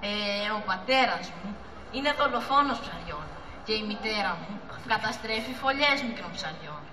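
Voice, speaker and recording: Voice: mid-range voice, expressive, close to an everyday voice. A young teenager playing a deceitful male dogfish who poses as a friend in an amateur school puppet play. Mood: evasive. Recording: distant, echoey hall with audience noise.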